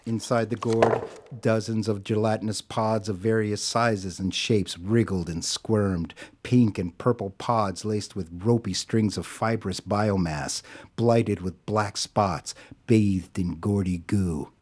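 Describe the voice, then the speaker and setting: A man narrating an audio drama in a measured, deliberate storytelling style. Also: on the low side, steady tone, a little mellow.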